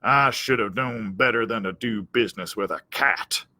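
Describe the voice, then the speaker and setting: A man's voice: lowish voice, normal speed, sharp tone, no room sound, pitched below the performer's own voice. A man voicing a vain, gullible storybook cowdog in a blustering, stammering, self-important manner. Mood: indignant. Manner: blustering, hesitant, stammering, pompous. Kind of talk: children's storybook character voice.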